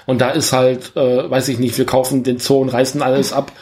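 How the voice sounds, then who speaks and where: A man's voice: medium pitch, little pitch movement, fairly quick, a little mellow. A man co-hosting a film podcast, talking casually and searching for words as he reasons aloud.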